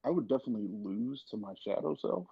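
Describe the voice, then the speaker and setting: A man chats casually with a wry, rambling delivery. His lowish voice is raspy with plenty of fry and swings around expressively. The recording is a touch dull and dry. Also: big pitch swings, quick pace, dry recording. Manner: wry, rambling, repeats words for emphasis. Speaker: cast member on a panel. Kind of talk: casual chat.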